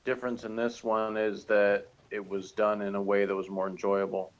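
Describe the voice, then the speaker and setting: A man's voice: fairly low pitch, little pitch movement, normal speed, highs cut off. A man co-reviewing a TV series in casual, unscripted conversation.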